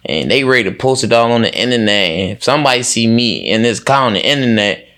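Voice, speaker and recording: A man's voice: medium pitch, expressive, quick pace, noisy background. A man with a Chicago accent talking casually as an interview guest, very slangy and often checking that the listener follows. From Chicago, United States. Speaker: interview guest, music artist. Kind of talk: casual conversation.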